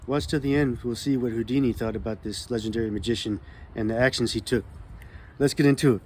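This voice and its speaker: A man hosting his own channel, reading magic history from a book aloud in a calm, relaxed manner. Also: medium pitch, fast, music in the background. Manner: calm, relaxed delivery meant to soothe. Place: California.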